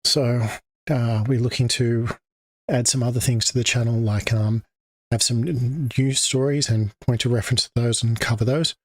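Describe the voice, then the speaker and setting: A man's voice: medium pitch, fairly even intonation, normal speed, dry recording. An Australian man hosting an English-teaching livestream, talking off the cuff with many fillers and restarts. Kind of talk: unscripted livestream talk. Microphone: desk microphone.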